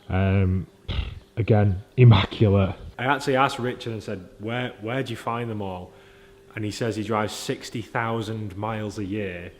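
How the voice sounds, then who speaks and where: A man's voice: fairly low pitch, darkish tone. A man giving an informal, matey, blokey walk-around commentary as a car vlogger. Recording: clear background noise.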